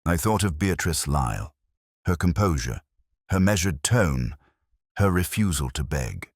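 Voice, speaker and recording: A man narrating as a melancholy, world-weary police inspector, in a measured, literary and solemn way. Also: extremely low pitch, big pitch swings, dry recording, studio quality, heavily acted. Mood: somber, reflective. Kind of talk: scripted first-person detective narration.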